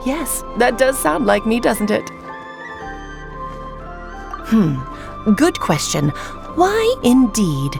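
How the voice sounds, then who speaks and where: A woman's voice: on the high side, sing-song, drawn-out words, vocal fry, pauses often, music in the background, heavily acted. A woman playing a playful, enigmatic witch who travels between worlds in an anime video game, teasing, airy and theatrical. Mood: coy, amused.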